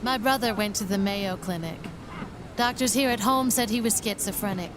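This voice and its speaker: An actress playing a blunt, nosy, unsentimental 1940s local woman in an audio drama, deadpan and matter-of-fact. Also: medium pitch, normal speed, background noise, natural voice. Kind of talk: naturalistic period audio drama acting.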